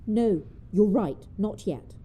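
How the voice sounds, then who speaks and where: A feisty old woman with mischief in her, made for an audio drama. Her voice is very dull and muffled, at a middling pitch, over faint rumbling noise. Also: expressive, slow, dark tone. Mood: brisk, determined. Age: older.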